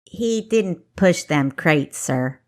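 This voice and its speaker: A woman's voice: low voice, lively intonation, slow pace. A woman voicing a bold, scruffy young woman who poses as a dockside boy, with rustic, plain-spoken talk. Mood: earnest protest.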